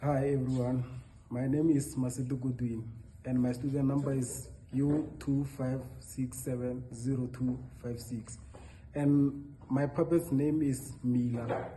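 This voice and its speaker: A man's voice: medium pitch, flat delivery, unhurried, warm tone, slight background noise, low-quality recording. A young adult man giving a short introduction to an audience as a student puppeteer.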